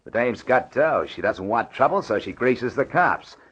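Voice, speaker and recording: A man's voice: mid-range voice, animated, moderate pace, a bit bright, slightly rough. An actor playing a smug, crooked nightclub gambling boss in an old radio drama, with slangy tough-guy talk. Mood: gruff, cynical. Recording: dull old radio recording.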